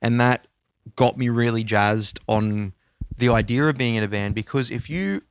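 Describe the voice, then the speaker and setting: Australian male podcast co-host, informal chat, gravelly edge on a smooth voice, close dry sound. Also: mid-range voice, normal speed, no room sound. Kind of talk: casual music podcast chat.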